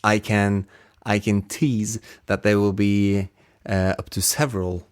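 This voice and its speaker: A man speaking as a sound designer in a casual interview, halting as he searches for words. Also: on the low side, little pitch movement, clean tone.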